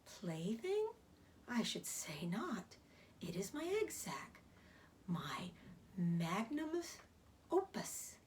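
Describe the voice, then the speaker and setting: Woman reader as aging female spider, storybook, frail half-whisper, gentle, weary, subdued, quiet dignity. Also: medium pitch, big pitch swings, a little hiss or hum. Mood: quietly proud. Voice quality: weak, soft, breathy half-whisper. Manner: measured, subdued. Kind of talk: children's storybook read-aloud.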